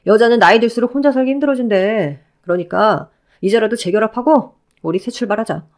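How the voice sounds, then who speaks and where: A woman's voice: a little high, fairly quick, a little mellow, natural voice. A woman voicing a pleading, self-pitying middle-aged ex-husband who begs and whines. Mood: begging. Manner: wheedling, whiny begging.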